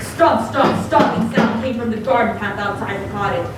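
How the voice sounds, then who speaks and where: A woman narrating a live school radio play with a brisk, dramatic reading. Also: medium pitch. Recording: echoey hall, mic far off, loud music.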